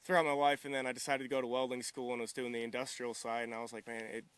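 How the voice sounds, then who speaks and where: Young man, crisp clear voice, bright tone, interview guest, polite, modest, easygoing, casual. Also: medium pitch, level tone, quick pace. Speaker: interview guest, military veteran and welder.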